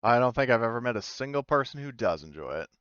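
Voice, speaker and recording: A man's voice: mid-range voice, big pitch swings, fast talker, fairly bright tone, smooth, no echo, lo-fi sound. A man playing a courteous, wistful space-station official in a tabletop sci-fi game, speaking a little formally. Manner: polite, slightly formal. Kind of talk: in-character tabletop sci-fi roleplay.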